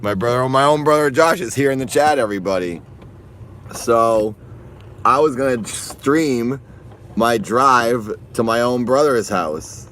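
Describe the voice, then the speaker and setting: A man from Massachusetts, hoarse and raspy, chatting casually on a phone live stream outdoors while walking and driving. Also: mid-range voice, animated, measured pace, a bit bright, slight background noise. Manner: rambling, chatty, laughs often.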